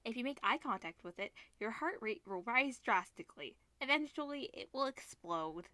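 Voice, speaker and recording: A woman's voice: a little high, expressive, moderate pace, sharp tone, no room sound. A woman reading the part of a stern homeroom teacher in a visual novel, giving grave instructions. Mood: stern, instructing.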